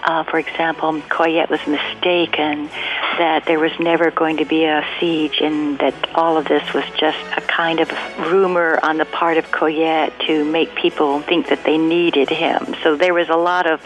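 A woman being interviewed as a researcher, explaining history off the cuff in measured phrases and searching for her words. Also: low voice, little pitch movement, soft background music, poor audio. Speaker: interviewed researcher and radio drama writer.

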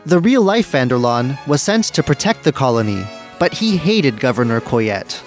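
A man's voice: medium pitch, very animated, normal speed, soft background music. A man hosting a history radio program, reading scripted narration and putting questions to the listener.

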